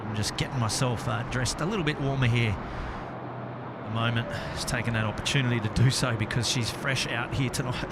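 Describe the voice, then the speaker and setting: A man's voice: medium pitch, moderate pace, heavy background noise. Australian man, mid-range voice, casual live stream host, hesitant and rambling, speaking outdoors. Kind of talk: casual live plane-spotting commentary. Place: outdoors near an airport at night.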